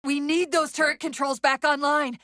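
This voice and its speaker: A woman voicing a video game's female AI companion, an urgent, wry military guide with crisp, technical, commanding delivery. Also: high-pitched, flat delivery, moderate pace, sharp tone, no echo, studio quality. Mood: brisk, commanding. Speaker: video game voice actor. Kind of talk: video game dialogue, tactical callouts.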